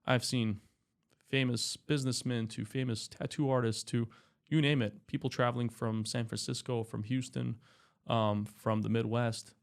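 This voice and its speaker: A man in his thirties, a doctor and podcast guest, explaining his work in a relaxed, chatty way with many fillers. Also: mid-range voice, normal speed, vocal fry. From Spain, raised in California. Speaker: anesthesiologist guest. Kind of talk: casual interview talk.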